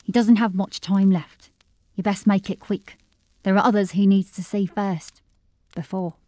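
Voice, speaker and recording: A woman's voice: mid-range voice, steady tone, unhurried, mellow, no echo, lightly acted. An actress playing a firm, protective woman tending a dying man in a fantasy audio drama. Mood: grave, urgent.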